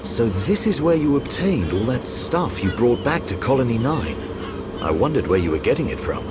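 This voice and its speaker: Male actor as stern game soldier, blunt, varied pitch, prominent music. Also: big pitch swings, normal speed, lightly acted. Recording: loud game music behind dialogue.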